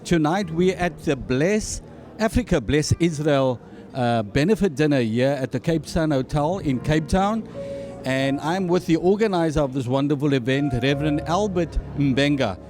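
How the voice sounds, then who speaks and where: A man in his forties hosting a show and interviewing a guest at a dinner event, measured and formal. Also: fairly high pitch, darkish tone. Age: 40s. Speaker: host. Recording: music playing behind.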